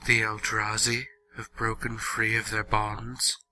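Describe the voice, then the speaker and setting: Male actor as a haughty ancient vampire lord, lowish pitch, sharp intense edge, formal and measured, fantasy audio drama. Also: monotone, heavily acted, poor audio. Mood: cool, guarded.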